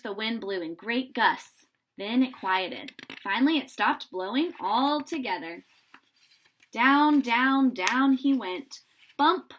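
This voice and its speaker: A woman reading a children's story aloud in an animated, dramatizing way, with pauses for suspense. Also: a little high.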